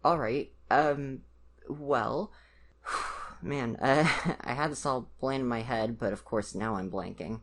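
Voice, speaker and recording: A man's voice: mid-range voice, fairly bright tone. A young man playing an awkward, caring college boyfriend in an ASMR roleplay, speaking hesitantly and earnestly straight to the listener. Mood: nervous, flustered. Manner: hesitant, halting, nervous sighs and self-corrections.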